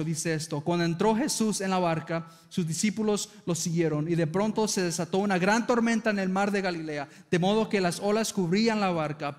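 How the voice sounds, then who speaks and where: A man with an Argentine accent preaching a sermon as pastor, speaking earnestly and calling on the congregation to answer amen. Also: high-pitched. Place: church sanctuary. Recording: reverberant church hall.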